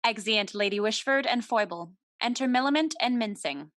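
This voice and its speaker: A woman's voice: a little high, lively intonation, moderate pace, fairly bright tone, no echo, clean studio sound. A woman reading out stage directions as scripted narration for a period play.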